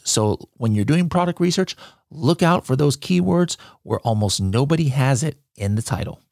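A man's voice: medium pitch, no room sound, clean studio sound. A man hosting a podcast in casual interview chat, rambling with many fillers and restarts. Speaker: podcast host.

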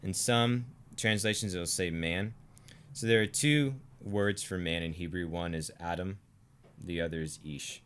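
A deep-voiced American man explains theology in a conversational teaching style. His delivery is deliberate and emphatic, with weighty pauses between phrases. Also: a bit bright, clear, resonant tone. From Arkansas, United States. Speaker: teacher and co-host of a theology series.

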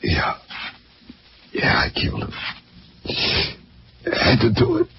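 Radio villain role: male actor as a wounded killer, whispery and despairing, dragging slow, on a muffled old broadcast. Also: slight background noise, close to an everyday voice. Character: badly injured murderer, weak and despairing. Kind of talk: 1940s radio acting.

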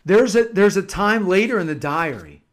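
High-voiced American man preaching earnestly. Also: lively intonation, normal speed. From United States. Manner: earnest, emphatic, repeats phrases for emphasis. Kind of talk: informal devotional talk with scripture-like readings.

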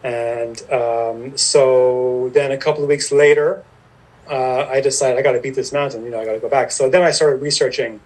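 Husky man in his fifties. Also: medium pitch, little pitch movement, normal speed, darkish tone, rough recording. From Ireland. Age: 50s. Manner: self-deprecating, rambling with many restarts. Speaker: podcast guest. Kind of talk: casual storytelling interview.

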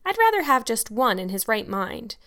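A woman's voice: a little high, sing-song, moderate pace, fairly bright tone. A woman voicing a talkative, imaginative young girl with an earnest, grandly worded way of speaking in an audiobook. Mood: chatty, earnest. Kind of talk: audiobook character dialogue.

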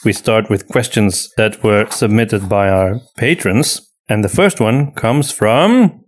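A man hosting a podcast in casual conversation, explaining things in a measured, reflective way with hesitant pauses. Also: lowish voice. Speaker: podcast host.

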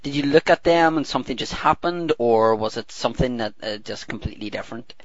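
A man's voice: medium pitch, a little fast, no room sound, lo-fi sound. A man interviewing a guest, asking fan questions in a casual, rambling way.

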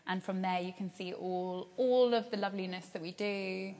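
A woman with a soft, gentle voice, talking calmly as an interview guest about her business and laughing often. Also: medium pitch, moderate pace, big-room sound. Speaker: guest, small business owner. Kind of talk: casual interview conversation.

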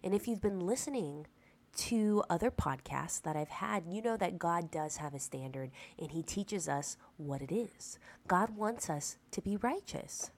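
A woman with a low-pitched voice hosts a preaching-style podcast while a little sick. She talks earnestly and emphatically and keeps turning to the listener with rhetorical asides. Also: animated, unhurried. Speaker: podcast host.

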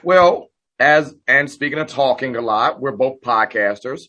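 Hoarse middle-aged podcaster, bright, forceful voice, high-energy, muffled lo-fi recording. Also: male voice, expressive, unhurried. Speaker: podcast guest. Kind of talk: casual podcast chat.

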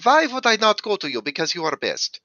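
A man's voice: high voice, huge pitch swings, a little fast, sharp tone, no room sound, muffled recording, heavily acted. A man voicing a blunt, pushy Russian woman mercenary who speaks broken English.

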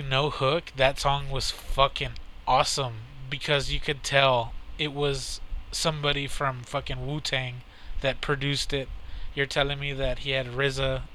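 A man's voice: mid-range voice, little pitch movement, unhurried, bright, forceful tone, a little hiss or hum, rough recording. A man in casual, profane podcast banter, joking and mocking. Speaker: podcast co-host. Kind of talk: casual chat.

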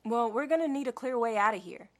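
A woman playing a capable, bossy leader of zombie survivors in an audio drama, brisk and commanding. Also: fairly high pitch, close to an everyday voice.

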